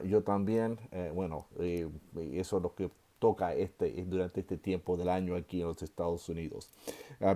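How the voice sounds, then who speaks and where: Mellow-voiced older man lecturing, halting. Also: lowish voice. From Chile. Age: 60s. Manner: unhurried, full of filler sounds and restarts; gently joking aside. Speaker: seminary professor. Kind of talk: online course lecture.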